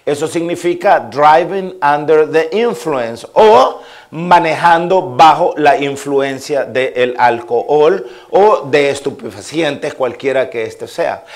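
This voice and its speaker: Salvadoran pastor preaching a sermon. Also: male voice, on the high side, animated, takes it slowly. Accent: Salvadoran Spanish. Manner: repetitive listing cadence with frequent amens.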